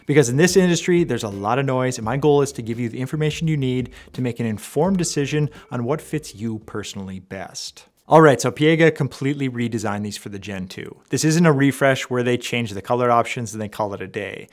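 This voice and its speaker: A man presenting a semi-scripted product review as an audio equipment reviewer, explaining in a measured way and stressing key words. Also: medium pitch.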